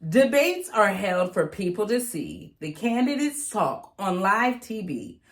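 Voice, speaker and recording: A woman reading a rhyming children's picture book aloud in an expressive, sing-song way. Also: medium pitch, a little slow. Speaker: read-aloud reader.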